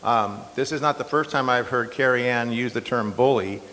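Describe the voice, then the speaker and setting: A man, an incumbent county supervisor, answering in a candidate debate with a loud, slightly hoarse voice and a defensive, chatty manner. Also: mid-range voice, moderate pace. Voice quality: loud strong voice. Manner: defensive, conversational with fillers. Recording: long hall reverb.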